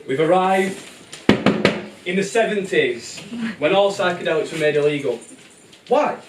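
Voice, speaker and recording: A man playing a whimsical, excitable storyteller, narrating a puppet show theatrically. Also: on the high side, unhurried, heavy background noise, natural voice. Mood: excited, dramatic. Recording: distant, echoey live show bootleg with music.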